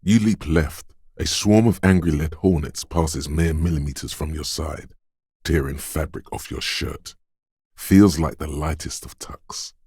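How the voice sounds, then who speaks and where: A man voicing a detective's quick-witted inner voice in a video game, narrating in a measured, deliberate way with short, wry, alert asides. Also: rumbling low, a little mellow, breathy edge, dry recording, clean studio sound, full character voice. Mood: measured, ominous. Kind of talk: scripted game narration, second-person inner voice.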